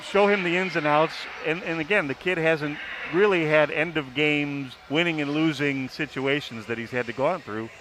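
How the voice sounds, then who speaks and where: A man giving casual sports analysis as a panelist, halting but emphatic, rephrasing his points as he goes. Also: fairly high pitch. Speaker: sports writer on a broadcast panel. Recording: faint background noise.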